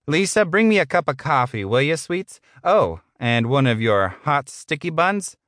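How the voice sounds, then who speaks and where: A man's voice: a little high, big pitch swings, unhurried, a bit bright, dry recording, studio quality, voice pushed up. A man voicing a short, sleazy middle-aged office boss in an audiobook, smug, patronizing and blustering. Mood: leering.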